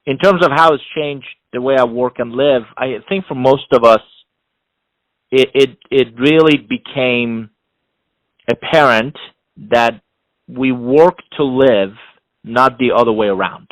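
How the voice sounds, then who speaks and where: A middle-aged man from Iran, a non-native English speaker, giving measured, explanatory answers as an interview guest, often building his points in repeated phrases. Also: mid-range voice, no echo, rough recording. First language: not English. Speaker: interview guest, executive coach and author.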